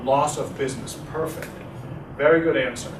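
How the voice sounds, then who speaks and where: Middle-aged German-accented lecturer, hesitant and chatty, recorded from afar in a noisy room. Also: male voice, medium pitch, normal speed. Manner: relaxed, hesitant with many fillers, quizzes audience. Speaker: guest lecturer. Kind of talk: university talk with audience questions. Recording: echoey room, distant, noisy.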